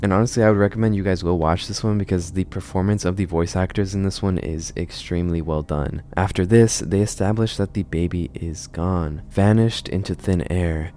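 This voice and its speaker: A man's voice: low-pitched, steady tone, a little fast, few pauses, warm tone. A man hosting a video essay on a horror series, explaining it in a conversational way. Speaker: video host, narrator.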